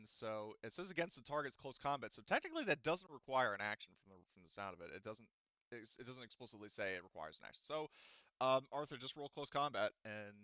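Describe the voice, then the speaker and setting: A man's voice: mid-range voice, sharp tone, smooth, no room sound, lo-fi sound. A man running a tabletop roleplaying game as game master, rambling through rules with many fillers. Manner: rambling, filler-heavy, laughs often.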